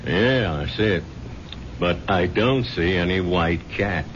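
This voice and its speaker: An actor playing a gruff, skeptical police chief with a dry, laconic delivery in a radio drama. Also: male voice, sits low, expressive, takes it slowly. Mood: dry, unmoved. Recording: muffled, noisy 1970s radio drama recording.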